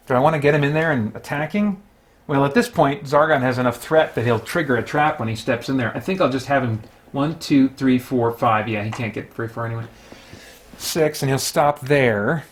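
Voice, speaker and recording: A man with a slightly high voice hosts a solo tabletop game session. He gives casual running commentary, talking through each move out loud as he works out what to do. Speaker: host of a solo tabletop gaming stream.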